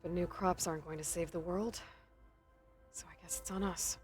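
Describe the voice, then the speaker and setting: An actress playing a steady, inquisitive young female hunter in a video game, with a low, grounded voice. Soft score music runs faintly under her questions. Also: normal speed, lightly acted. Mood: curious. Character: determined young woman hunter, curious and steady.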